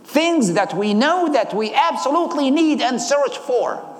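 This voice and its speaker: An Iraqi man in his thirties delivers a personal testimony like a sermon. His voice sits extremely high for a man and carries an Iraqi accent. The recording is echoey, with the long reverb of a big hall. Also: big pitch swings, measured pace. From Iraq. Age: 30s. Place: large hall, live talk.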